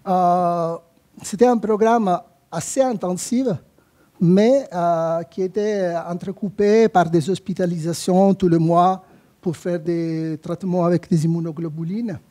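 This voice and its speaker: A man's voice: sits high, mellow. A man with an Italian accent, a rehabilitation physician presenting a clinical case at a conference, speaking hesitantly with many fillers and restarts.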